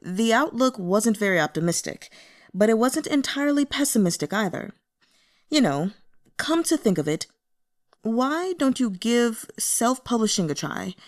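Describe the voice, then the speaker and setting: A woman voicing a curt, listless male book editor in an audiobook, measured and critical. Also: very high-pitched, sing-song, voice pushed up. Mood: measured, encouraging. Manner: measured, dismissive. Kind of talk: audiobook character dialogue.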